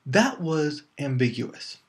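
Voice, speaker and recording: A man's voice: fairly high pitch, sing-song, slow pace. Casual, chatty man reviewing movies. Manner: chatty, rambling, informal, addressing viewers as friends. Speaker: movie review show host.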